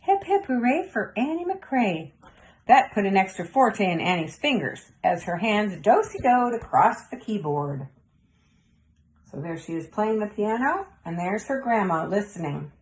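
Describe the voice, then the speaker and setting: A woman's voice: medium pitch, animated, measured pace. A woman reading a storybook aloud for children, with chatty asides to young listeners. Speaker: storybook reader. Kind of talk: read-aloud narration for children.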